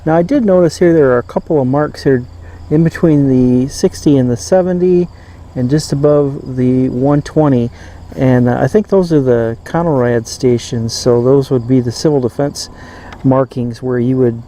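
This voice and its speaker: A man with a soft, mellow voice talks through a hands-on demonstration in an unscripted way. He is hesitant and pauses often, rambling a bit. There is clear noise behind him. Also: mid-range voice, poor audio. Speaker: vintage radio reviewer.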